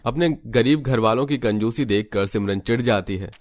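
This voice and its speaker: A man's voice: mid-range voice, normal speed, faint music behind. A man narrating a scripted cartoon family story.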